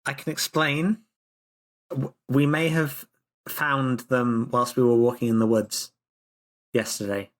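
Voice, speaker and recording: A man's voice: medium pitch, steady tone, slow, pauses often. Hesitant young-sounding man player. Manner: hesitant, tumbling explanations. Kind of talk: playing his character in a tabletop game.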